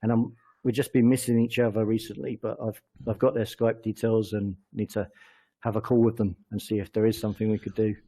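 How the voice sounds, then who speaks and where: A man with an English accent answering casually as an interview guest, halting and often correcting himself mid-sentence. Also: fairly low pitch, little pitch movement, warm tone. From England. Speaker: interview guest, company chief executive.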